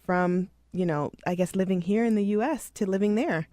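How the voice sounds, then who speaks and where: Woman host, encouraging, mid pitch, dry close sound. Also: normal speed, no room sound. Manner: warm, encouraging listener. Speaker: podcast host. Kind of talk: casual interview chat.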